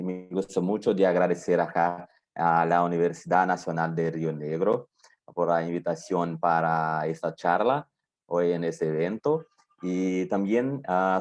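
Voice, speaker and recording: Deep-voiced Brazilian man, slow and hesitant. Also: darkish tone. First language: Portuguese. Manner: slow, hesitant, frequent drawn-out pauses. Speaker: guest lecturer. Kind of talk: academic seminar talk. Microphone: video call.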